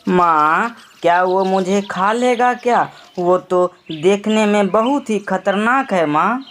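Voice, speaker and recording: A man's voice: high voice, slow, a bit bright, voice pushed up. A man voicing a naive, nervous young boy bird in a cartoon story, earnest and childlike. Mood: scared, awed. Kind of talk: acted cartoon moral story.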